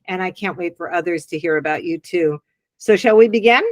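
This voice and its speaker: A woman's voice: on the low side, a little fast, no echo. Woman interview host, encouraging manner, dry sound. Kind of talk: interview hosting.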